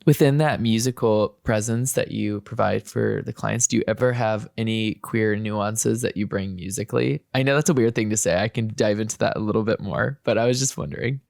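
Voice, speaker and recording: A man's voice: lowish voice, a little fast, vocal fry, few pauses, darkish tone, no room sound, clean studio sound. A man hosting a podcast, chatting casually and hedging his points with fillers and restarts. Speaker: podcast host. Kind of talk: casual interview chat.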